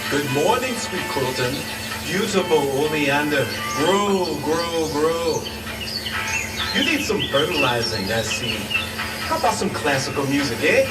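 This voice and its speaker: High, animated hot-tempered gardener. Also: male voice, measured pace. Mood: cheerful, doting. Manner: dialect-flavoured speech sprinkled with Spanish. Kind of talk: radio drama acting. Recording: roomy, distant, loud music behind.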